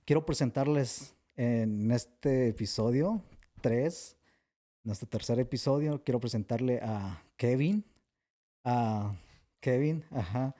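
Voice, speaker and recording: A man's voice: mid-range voice, takes it slowly, warm tone. A Mexican man with a Mexican accent hosting a podcast, chatting in a friendly way with restarts and "este" fillers. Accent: Mexican Spanish. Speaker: podcast host. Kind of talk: casual podcast interview.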